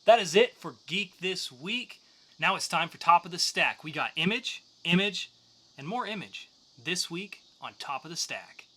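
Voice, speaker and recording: A man's voice: high-pitched, lively intonation, moderate pace, sharp tone. A man co-hosting a podcast, talking through news and reviews in a casual, joking way. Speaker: podcast co-host. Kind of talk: news segment and comics review.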